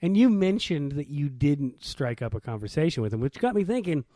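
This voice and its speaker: An American man around his thirties or forties co-hosting a podcast, telling everyday stories in slangy, animated casual chat. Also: on the high side, brisk, no echo. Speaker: podcast co-host.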